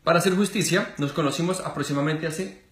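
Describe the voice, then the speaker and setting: A man's voice: a little high, quick pace. A man in his thirties from Colombia, hosting a casual interview in an office and gesturing as he talks. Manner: animated, gestures a lot, many fillers. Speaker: host. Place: small office with wall posters. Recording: roomy office echo.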